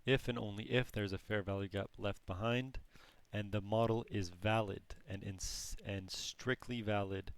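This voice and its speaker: A hoarse man with a low, gravelly voice teaches a trading lesson. He talks very slowly and haltingly and often restarts his sentences, sounding strained from losing his voice. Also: steady tone. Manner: slow, halting explanation with frequent restarts. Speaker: trading course instructor. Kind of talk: screen-recorded trading lesson.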